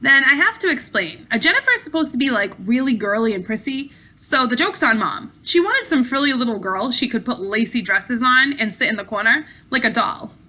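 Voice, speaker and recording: A woman's voice: fairly high pitch, sing-song, brisk, few pauses, pushed and bright, full character voice, poor audio. A woman voicing a bold, breezy, sarcastic young teen girl in a storybook read-aloud. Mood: wry, chatty. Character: bold, flippant young teen girl, mischievous hidden child.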